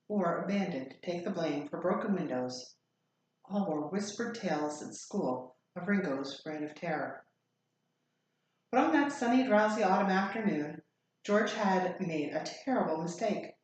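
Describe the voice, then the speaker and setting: Very echoey woman reading a story aloud. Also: mid-range voice. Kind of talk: expressive story read-aloud. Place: echoey room. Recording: very echoey room, faint noise.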